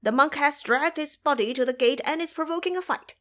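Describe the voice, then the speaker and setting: A woman's voice: high voice, a little fast, a bit bright, voice pushed up. A woman voicing an alarmed, servile monster imp in an audio drama, reporting urgently and deferentially.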